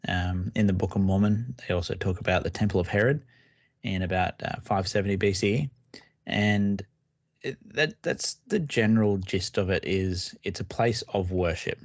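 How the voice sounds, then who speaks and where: Low-voiced man on a podcast. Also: normal speed, no echo. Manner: relaxed, explains at length with frequent fillers and restarts. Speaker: podcast co-host. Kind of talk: casual podcast chat.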